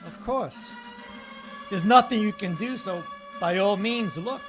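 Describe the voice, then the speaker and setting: An actor playing an unhinged, grandiose bomber in an old-time radio detective drama, halting and stammering with rapture. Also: male voice, high-pitched. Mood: smug. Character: unhinged male bomber, grandiose, raptly obsessed with imagined music. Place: live stage performance in a hall. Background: music.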